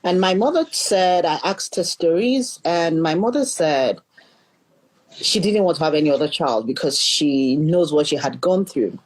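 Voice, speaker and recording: A woman's voice: deep voice, expressive, a little slow, rough recording. A middle-aged Nigerian woman, a leadership coach, earnestly telling her life story as a podcast guest and often repeating words as she thinks. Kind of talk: storytelling in an interview.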